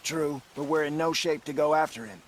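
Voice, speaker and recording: A man's voice: on the high side, a little fast, slight background noise, close to an everyday voice, poor audio. A man playing a pragmatic, beleaguered caravan boss in a video game, frank and plain-spoken. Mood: relieved, grateful.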